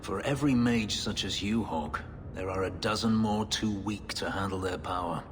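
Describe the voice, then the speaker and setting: An actor playing a brooding, bitter elf warrior who was once a slave, speaking in a grave, measured way. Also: male voice, natural voice. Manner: low, grave, measured delivery. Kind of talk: video game voice acting. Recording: long reverb under game music.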